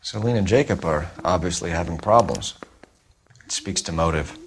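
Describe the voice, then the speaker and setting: A man's voice: low-pitched, normal speed, a little hiss or hum. An American man playing an earnest, methodical 1940s police detective in a crime game, clipped and formal. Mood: focused, muttering. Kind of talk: period crime game acting.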